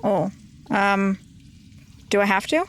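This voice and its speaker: A woman's voice: medium pitch, animated, slow. Female tabletop player. Mid-range everyday voice, casual, conversational, slipping into character dialogue.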